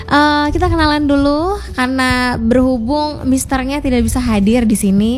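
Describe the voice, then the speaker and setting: A woman's voice: high voice, soft background music. Bubbly young radio host woman. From Indonesia. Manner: bubbly, teasing, quick. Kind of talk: casual radio chat. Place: radio studio.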